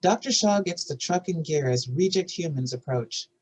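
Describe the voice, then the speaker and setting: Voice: mid-range voice, animated, moderate pace, darkish tone, breathy edge, rough recording. A narrator reading scene headings and action lines from a screenplay at a table read. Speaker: narrator reading stage directions.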